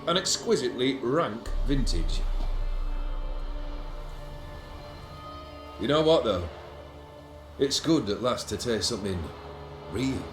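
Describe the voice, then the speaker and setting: A man's voice: mid-range voice, expressive, normal speed, echoey room, music in the background, lightly acted. A man playing a proud, melancholy, world-weary nobleman in a video game, with an eloquent, formal and brooding delivery. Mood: wry, weary.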